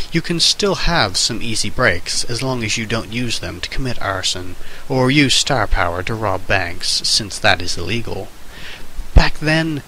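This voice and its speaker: A man with a fairly low, bright voice reads a comic essay aloud as mock-serious history. His delivery is theatrical and scripted, like an amateur dramatic reading. A faint hiss sits on the homemade recording. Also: poor audio. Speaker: amateur reader. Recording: hissy amateur microphone recording.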